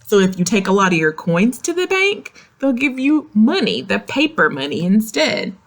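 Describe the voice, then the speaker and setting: A woman reading a picture book aloud to young children, expressive and explanatory, speaking to them directly. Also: medium pitch, normal speed. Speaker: children's story reader. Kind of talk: animated read-aloud of a picture book with asides to young listeners.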